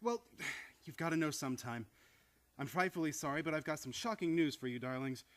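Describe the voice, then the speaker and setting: An actor playing an excitable young theatre critic who stammers and exclaims in old-time radio comedy style. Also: male voice, on the high side, a little fast, lightly acted. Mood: alarmed, frantic. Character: excitable theatre critic nephew, horrified and sputtering.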